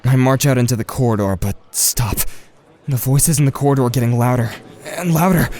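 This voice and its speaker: A man's voice: mid-range voice, brisk, crowd noise, lightly acted. An actor playing an anxious, volatile teenage boy who narrates his own story with tense intensity in an audio drama. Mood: uneasy. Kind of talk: first-person dramatic narration.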